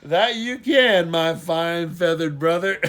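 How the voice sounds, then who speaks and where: A man's voice: a little high, slow, voice pushed up. Man reading as a kind, teasing big brother. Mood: teasing, caring. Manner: gently teasing, reassuring.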